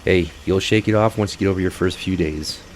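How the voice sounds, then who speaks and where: An actor playing an easygoing, cheerful rig worker and buddy in casual audio drama dialogue. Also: male voice, low voice, brisk, slight background noise, close to an everyday voice. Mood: reassuring. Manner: informal, buddy-like.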